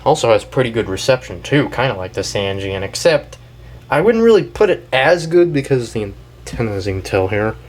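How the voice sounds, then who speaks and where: A man hosting a casual show-and-tell, talking in a rambling, halting way and often trailing off mid-thought. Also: medium pitch, very animated. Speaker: video host and weather radio collector.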